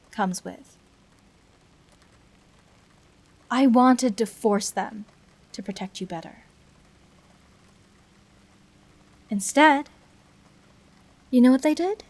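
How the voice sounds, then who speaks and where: A woman playing a bitter, protective fallen hero turned villain in an emotional confessional audio roleplay, speaking deliberately with dramatic pauses. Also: fairly high pitch. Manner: deliberate, dramatic, pausing between short phrases.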